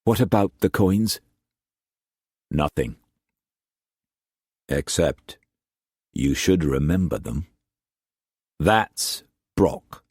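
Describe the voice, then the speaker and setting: Man doing a gruff Scottish trader. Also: low-pitched, lively intonation, darkish tone, dry recording, heavily acted. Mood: stern. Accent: Scottish put-on. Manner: measured, gruff, dry. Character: shrewd older Scottish male trader, fatherly.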